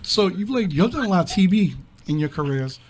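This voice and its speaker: A man's voice: high voice, animated, a little fast. A man asking questions in casual radio interview chat. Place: radio studio.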